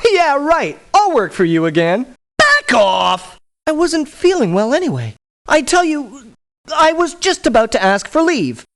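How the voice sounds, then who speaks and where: An actress playing a nervy, whiny hypochondriac mercenary in a video game, with a fretful, complaining manner. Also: female voice, medium pitch, close to an everyday voice. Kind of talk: video game character voice lines.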